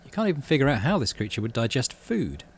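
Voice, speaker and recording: A man's voice: mid-range voice, very animated, quick pace, breathy edge. A man hosting a playthrough, reading game text aloud with animation and muttering offhand commentary to himself. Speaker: gaming channel host.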